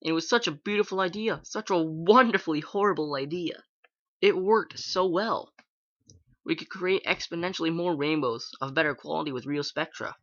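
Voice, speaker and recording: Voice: mid-range voice, normal speed, fairly bright tone, lo-fi sound, lightly acted. A reader voicing a villainous adult mare pegasus who gloats and rages with contempt in a fan fiction reading. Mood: gloating. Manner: gloating, then screaming with contempt.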